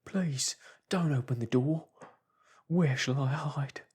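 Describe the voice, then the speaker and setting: A man's voice: animated, moderate pace, dark tone, breathy edge. A man voicing a frantic, terrified male tramp who pleads and shrieks while on the run. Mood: panicked. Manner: shrill and pleading.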